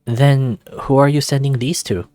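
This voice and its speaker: A man's voice: mid-range voice, fairly even intonation, normal speed, warm tone, dry recording, soft background music, natural voice. A man voicing a bewildered, self-conscious young male wolf in a visual novel, hesitant and questioning. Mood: reluctant.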